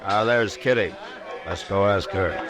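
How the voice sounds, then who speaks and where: An actor playing a steady, authoritative Old West marshal with an American accent and terse, measured delivery in a radio western. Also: male voice, sits low, crowd noise. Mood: purposeful. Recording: noisy vintage radio drama recording.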